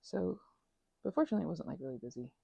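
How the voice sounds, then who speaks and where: A woman rambles softly over her crafts for an ASMR video, close to the microphone, her voice full of gravelly fry and a husky breathiness. Her pitch swings widely as she meanders from thought to thought, often dropping into a whisper. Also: lively intonation, rapid-fire, dry recording, dull audio.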